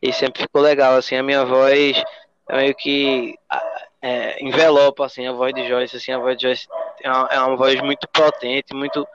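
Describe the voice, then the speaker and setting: Flat, gravelly man. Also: mid-range voice. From Pernambuco, Brazil. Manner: halting, fills with "assim" and "tipo". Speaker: singer, guest. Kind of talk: casual interview chat. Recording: dull lo-fi with faint noise.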